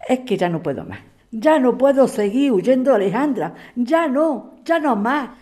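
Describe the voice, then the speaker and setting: Mellow, resolute Spanish mother, played by a woman. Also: a little high, very animated, measured pace. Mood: weary, pleading. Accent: Spain. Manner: reassuring, insistent. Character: resolute, pleading mother, tired of running, calming daughter. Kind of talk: acted audio drama dialogue.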